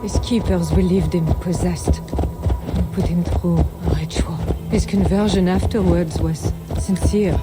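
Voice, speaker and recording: An actress playing a devout, composed queen in a video game, speaking in a measured, earnest and certain manner. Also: on the low side, fairly even intonation, over loud music, close to an everyday voice. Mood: warm, candid. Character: devout, composed queen, serene and quietly knowing. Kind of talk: dramatic video game cutscene acting.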